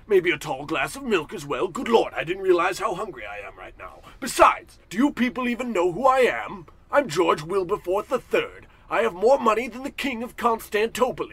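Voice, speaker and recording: High-pitched, husky, pompous rich tycoon. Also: male voice, sing-song, moderate pace, hardly any pauses, fairly bright tone, exaggerated voice. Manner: blustering, haughty, theatrical. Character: pompous, indignant wealthy businessman, flustered and forgetful. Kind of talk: old-time radio comedy acting.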